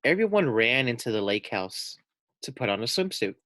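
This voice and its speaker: A man reading a children's storybook aloud like a teacher, pausing for casual asides and questions to his young listeners. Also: medium pitch, very animated, pushed and bright, no room sound, clean studio sound.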